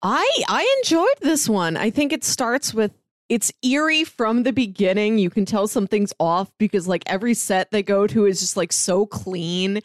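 A woman with a medium-pitched voice gives a casual, conversational review as a podcast co-host. Her talk is peppered with "like", and the recording is very dry with no room sound. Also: expressive, moderate pace, dry recording.

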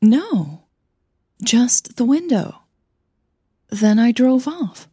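Lady acting as jittery young opera singer girl in an audio drama, defensive, hesitant, husky and unhurried. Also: sing-song, mellow, no echo, studio quality, heavily acted. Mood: anxious, defensive. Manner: hesitant, higher-pitched, uneasy.

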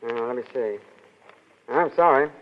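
An actor playing a polite, businesslike military hospital clerk in a 1930s radio drama style. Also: male voice, mid-range voice, moderate pace, close to an everyday voice. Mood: polite, brisk. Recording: tinny, band-limited 1930s radio recording.

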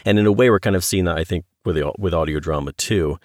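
Middle aged guy hosting a podcast, deep voice, dry close mic, just chatting casually. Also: fast, dry recording. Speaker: podcast host. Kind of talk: casual interview chat.

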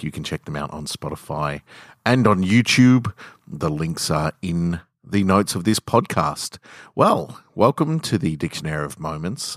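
Deep voiced guy hosting a story podcast, reflective and chatty, really dry sound. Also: a bit bright, no echo. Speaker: podcast host. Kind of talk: podcast intro and literary story reading.

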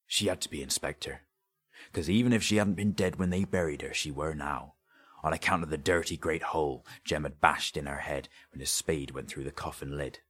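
A man's voice: extremely low pitch, a little fast, a bit bright, breathy edge, heavily acted. A man voicing a haunted, greedy grave robber in an audiobook story, with a confiding, colloquial way of telling things. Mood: grim, recounting.

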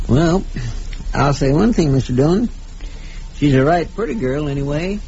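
An actor playing a folksy, deferential deputy sidekick with a rural Western American accent in a radio western. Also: male voice, fairly high pitch, lively intonation, unhurried, a little mellow. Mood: musing. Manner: folksy, drawling dialect. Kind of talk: 1950s radio western acting. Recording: dull, hissy vintage radio recording.

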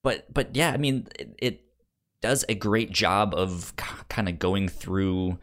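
Man, lowish pitch, stammering repeats, casual debate style, podcast co-host. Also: a bit bright. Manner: halting, often stammers and repeats words.

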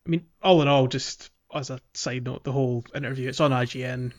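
A man's voice: medium pitch, steady tone, brisk. A man hosting a podcast, talking casually about a game and often circling back to correct himself as he goes. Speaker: podcast host. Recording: dull, lo-fi audio.

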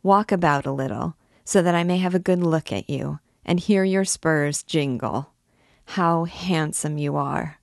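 A woman's voice: low-pitched, a little slow, creaky, no room sound. A young girl in love, voiced by a woman narrator for a storybook. She is naive and adoring, with a tender, childlike, pleading way of speaking, recorded dry. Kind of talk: audiobook character dialogue.

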